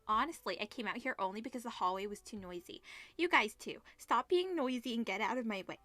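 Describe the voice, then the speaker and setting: A woman's voice: a little high, animated, a little fast, pushed and bright, dry recording. A woman voicing an aloof, bookish schoolboy with a curt, annoyed manner in a visual novel. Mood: cold, dismissive. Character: aloof, irritable bookish schoolboy, teacher's pet.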